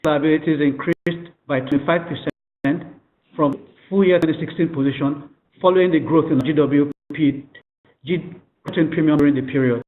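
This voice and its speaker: A man formally presenting financial results on a conference call, reading figures aloud in a halting way. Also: fairly high pitch, fairly even intonation. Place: conference call phone line. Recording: tinny, echoey telephone conference line.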